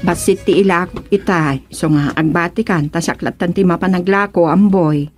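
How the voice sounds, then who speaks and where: An actress playing an exasperated older sister in a radio serial, plaintive and scolding, with a Filipino accent. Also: female voice, lowish voice, normal speed, faint music behind, lightly acted. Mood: firm. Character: exasperated older sister who peddles goods, fed up with brother. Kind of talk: radio serial drama acting.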